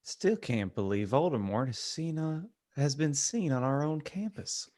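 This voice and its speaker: A man's voice: mid-range voice, lively intonation, a little slow, a little mellow, no echo, studio quality, pitched above the performer's own voice, natural voice. A man playing a brave, friendly schoolboy wizard in a parody reading, earnest and reassuring. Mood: hushed worry. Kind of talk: acted fan-fiction reading.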